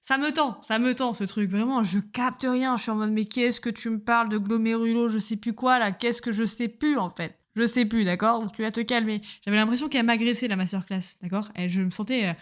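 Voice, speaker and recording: A woman's voice: on the high side, fairly even intonation, a little fast, few pauses. A young woman chats into a home microphone in a loose, diary-style podcast. She rambles from one thing to the next, slangy and self-mocking, with a casual everyday tone. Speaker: podcaster, medical student. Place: at home on a couch.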